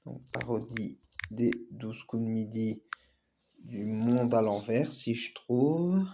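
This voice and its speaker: A man chatting through a reaction video, mid-pitched and rambling. He talks loosely and keeps stopping to explain. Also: slow pace, vocal fry, dark tone, a little hiss or hum. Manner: rambling, lots of fillers. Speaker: YouTube reaction host. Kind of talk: casual reaction commentary.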